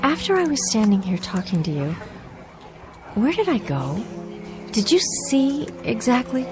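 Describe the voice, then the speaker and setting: A woman's voice: fairly high pitch, big pitch swings, a little slow, over music. An actress playing an anxious, bewildered young woman in a radio drama, hesitant and stammering yet insistent. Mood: cautiously probing.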